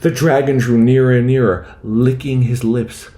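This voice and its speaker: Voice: medium pitch, steady tone, moderate pace, warm tone. A storyteller reading a children's picture book aloud with theatrical, rhythmic rhyming delivery. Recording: roomy, echoing voice.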